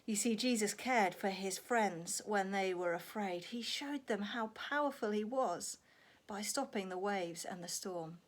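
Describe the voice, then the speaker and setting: A woman telling a story to young children in an animated, expressive way, prompting with questions and acting out sound effects. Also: medium pitch, unhurried.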